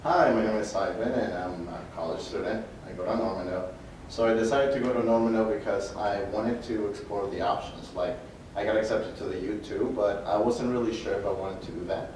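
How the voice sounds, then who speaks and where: Brief: young male student, low voice, loose chatty delivery, echoey room. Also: fairly quick, darkish tone. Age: young adult. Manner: casual, lots of "like". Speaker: student panelist. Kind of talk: panel talk. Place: hotel meeting room. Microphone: handheld mic. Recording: echoey room from far off, faint noise.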